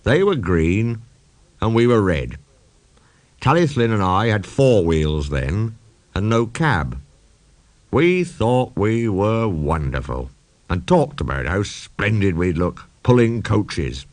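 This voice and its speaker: A man's voice: on the low side, very animated, slow, a little mellow, dry recording. A man voicing a proud, indignant old male steam engine who tells grumbling, emphatic stories in a children's audiobook. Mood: reminiscing.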